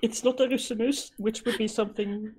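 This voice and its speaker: A woman's voice: on the high side, fairly even intonation, a little fast, darkish tone, husky. A woman from Norway, co-hosting a casual podcast in a dry, teasing way. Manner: teasing, dry, gives answers. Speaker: podcast co-host. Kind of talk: casual chat.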